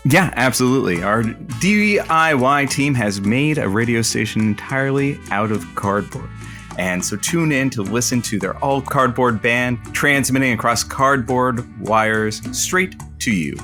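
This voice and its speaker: A man playing a wry, sardonic radio station sidekick in an audio drama, with a deadpan, sarcastic line in banter. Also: medium pitch, measured pace, soft background music. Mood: wry announcing. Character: wry, sardonic male radio producer, evasive and bitter.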